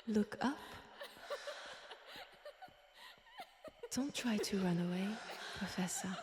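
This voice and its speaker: A woman with a French accent playing an eerie, seductive guide of a magic library in a breathy, half-whispered ASMR roleplay. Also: medium pitch. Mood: luring. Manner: slow, deliberate, hushed. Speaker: roleplay voice actor. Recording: roomy long reverb, faint background noise.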